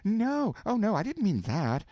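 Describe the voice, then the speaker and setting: Male reader playing a high-voiced, exclamatory housewife shouting her half of a phone call for a storybook reading; bouncy inflection. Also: huge pitch swings, a little slow, no echo, exaggerated voice. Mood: exclaiming. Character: chattering housewife on the telephone.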